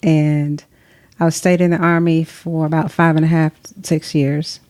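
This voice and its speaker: Middle-aged woman guest, low even voice, thoughtful and unhurried, dry close sound. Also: clean tone, dry recording. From Tennessee, US. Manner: reflective, soft-spoken with pauses. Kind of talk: conversational interview. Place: recording session in Atlanta.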